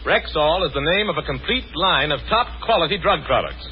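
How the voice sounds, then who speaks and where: A man announcing a scripted 1940s radio sponsor message with emphatic, measured salesmanship. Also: fairly high pitch, lively intonation, soft background music. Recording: muffled 1940s radio broadcast.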